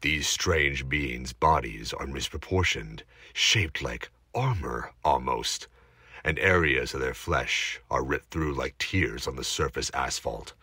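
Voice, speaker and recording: A man's voice: extremely low pitch, slow, bright, forceful tone, breathy edge, no echo, studio quality. A man narrating a scripted audio drama in a dramatic, suspenseful storytelling manner.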